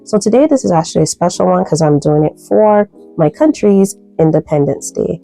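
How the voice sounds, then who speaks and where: Low gravelly Barbadian woman vlogger. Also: brisk, soft background music. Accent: Barbadian, softened. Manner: relaxed, chatty, many fillers, light laughs. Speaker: soap-making vlogger. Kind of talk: casual tutorial chat.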